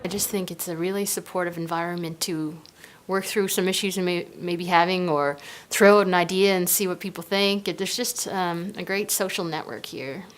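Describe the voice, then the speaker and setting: A woman giving a quick, casual interview testimonial. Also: on the low side, steady tone.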